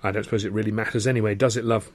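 A man voicing an affectionate, plucky young music-hall woman in a story, soft and wry. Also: lowish voice, level tone, rapid-fire, natural voice. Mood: tender, wry. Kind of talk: audiobook character voice.

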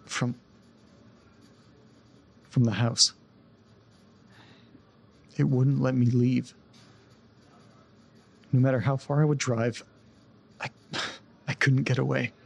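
A man acting a troubled man in an audio drama, haunted and desperate. His voice is slightly husky and mid-pitched, and he talks in halting, earnest phrases that break off. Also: level tone, darkish tone, exaggerated voice. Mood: defensive, haunted. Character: distressed man recounting a haunted-house ordeal, desperate to get home.

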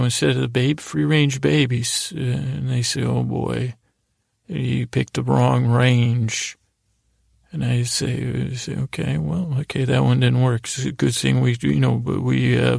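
Gravelly, creaky man rambling at bedtime. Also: mid-range voice, no echo, studio quality. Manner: meandering, halting, trails off mid-thought; silly, mildly absurd humor. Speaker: podcast host. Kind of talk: rambling bedtime storytelling monologue.

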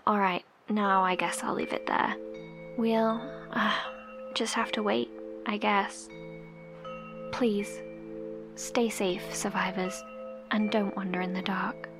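Slow, hesitant woman survivor played by an actress. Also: medium pitch, steady tone, music in the background. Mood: shaken, resigned. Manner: hesitant, sighing, breathy delivery. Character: anxious woman survivor broadcasting to listeners in horror drama. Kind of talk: horror audio drama acting. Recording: faint background noise.

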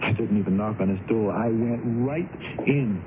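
A man's voice: on the low side, normal speed, natural voice. An actor playing an earnest, bashful young man who stammers and hesitates, in radio drama style. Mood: dejected narration. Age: young adult. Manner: hesitant, stammering, repeats words. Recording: tinny, noisy old radio broadcast recording.

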